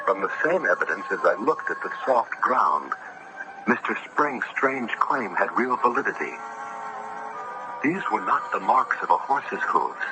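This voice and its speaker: An actor playing a thoughtful, courteous judge of olden times, speaking formally and deliberately in a radio drama. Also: male voice, fairly low pitch. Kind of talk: old-time radio drama acting and narration. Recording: noisy vintage radio drama broadcast.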